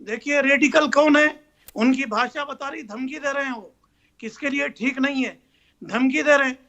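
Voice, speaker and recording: A man's voice: very high voice, moderate pace, pushed and bright, highs cut off. An Indian man, a cleric on a heated televised debate panel, speaking in a combative, challenging way.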